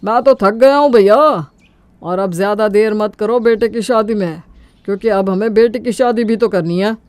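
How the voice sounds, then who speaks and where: A man's voice: high voice, moderate pace, a little mellow, clean tone, no room sound, natural voice. A man voicing a calculating middle-aged father of a groom, mock-humble and persuasive, in a Hindi cartoon story. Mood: grumbling, weary.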